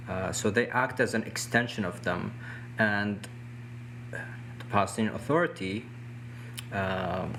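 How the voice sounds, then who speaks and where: A man whose first language is not English, speaking as an interview guest and explaining things in a measured way, often restarting phrases while he looks for a word. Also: fairly low pitch, noisy background. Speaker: guest, youth movement organizer. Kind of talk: casual interview answers.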